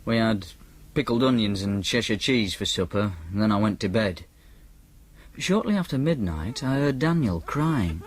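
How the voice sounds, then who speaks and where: A man's voice: on the low side, expressive, moderate pace, a little hiss or hum, close to an everyday voice. An actor narrating in first person as a young man with a Northern English accent, telling the story in a dry, matter-of-fact way. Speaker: narrator and main character.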